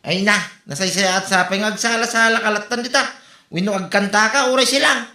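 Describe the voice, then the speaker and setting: A man's voice: sits high, a little fast, bright, forceful tone, roomy sound, heavily acted. A man with a Filipino Ilocano accent acting a grumbling, scolding neighbour in a comedy drama.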